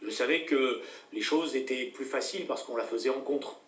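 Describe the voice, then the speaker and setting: A middle-aged man, a political guest on a talk show, speaking deliberately with many hesitations and fillers. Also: medium pitch, fairly bright tone, roomy sound, distant mic.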